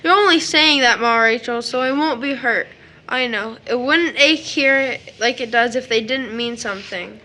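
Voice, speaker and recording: A woman's voice: fairly high pitch, normal speed, pushed and bright, a little hiss or hum. A woman playing a sensitive, trusting seven-year-old boy in an audio drama, with a childlike, questioning manner. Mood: hurt, earnest.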